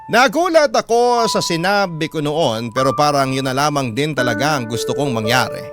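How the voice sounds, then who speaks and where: A man's voice: a little high, big pitch swings, normal speed. A man with a Filipino accent narrating a scripted first-person story as a radio host reading a letter. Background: prominent background music.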